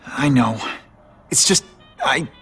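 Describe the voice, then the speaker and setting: A man's voice: mid-range voice, expressive, takes it slowly, husky, heavily acted. An actor playing an earnest young male superhero in a video game, guilt-ridden yet wisecracking. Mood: guilty, subdued. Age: young adult. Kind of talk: dramatic game voice acting. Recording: loud music behind.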